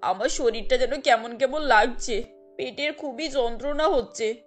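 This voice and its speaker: A woman's voice: a little high, a little slow, soft background music, pitched below the performer's own voice, close to an everyday voice. A woman doing an anxious, ailing wife. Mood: pleading. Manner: pleading, worried.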